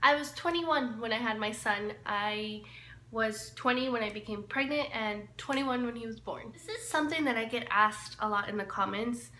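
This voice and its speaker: A young woman from El Salvador tells random facts about herself in a relaxed, rambling vlog. Her voice is bright and slightly high, with easy laughter between thoughts. The room sounds echoey, with a faint hiss behind her. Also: normal speed. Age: 20s. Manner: chatty, laughs often, trails off with "so". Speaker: lifestyle vlogger. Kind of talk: casual get-to-know-me chat.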